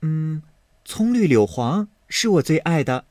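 A sweet, coy sixteen-year-old maid chatters in a sing-song lilt, as a man voices her. Her voice leaps high and falls, unhurried, with a dry close sound. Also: very animated, darkish tone, no room sound. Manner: coy, giggly, lilting. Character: sweet playful teenage maid girl.

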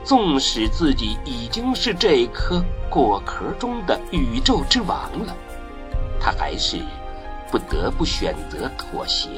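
A man narrating a radio drama as a solemn, expressive storyteller. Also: mid-range voice, slow. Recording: voice over prominent music.

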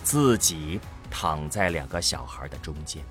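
A man's voice: a bass voice, very animated, measured pace, fairly bright tone, faint music behind. A man narrating an audio drama from a script in a grave, dramatic manner.

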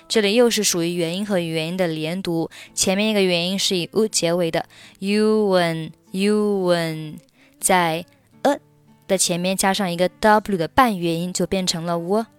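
A woman teaching English pronunciation, reading dialogue lines and explaining sound linking with slow, careful repetition, a Mandarin speaker. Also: medium pitch, clear, resonant tone, no echo. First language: Mandarin Chinese.

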